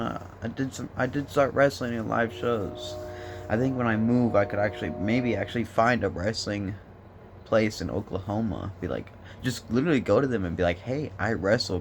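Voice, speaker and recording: A young man with a voice hoarse from smoking, rambling and joking in a laid-back way into his phone. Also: medium pitch. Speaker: vlogger. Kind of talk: rambling casual vlog chat.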